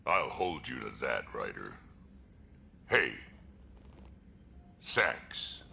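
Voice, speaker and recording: Gravelly alien warrior. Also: male voice, very animated, very slow, bright, forceful tone, a little hiss or hum, full character voice. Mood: determined. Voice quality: deep gravelly voice. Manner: blunt, growling threats. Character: gruff alien warrior man, blunt and tough. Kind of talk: video game dialogue.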